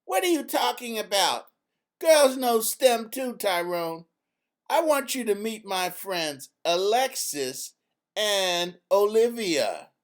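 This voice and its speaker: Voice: mid-range voice, animated, slow pace, pitched above the performer's own voice. A storybook narrator voicing a confident girl scientist who teaches in a firm, friendly, emphatic way. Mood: indignant, proud. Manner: emphatic, instructive, clearly enumerating.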